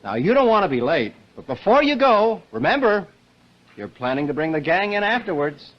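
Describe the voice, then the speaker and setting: A man's voice: high-pitched, very animated, normal speed, muffled recording. A man reading a scripted commercial as an announcer on old-time radio. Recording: band-limited vintage radio recording.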